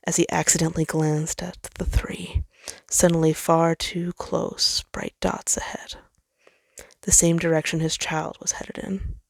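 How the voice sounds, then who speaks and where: A woman's voice: deep voice, creaky, dry recording, studio quality. A woman reading a story aloud as narrator, in a dramatic scripted style. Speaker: fan fiction narrator.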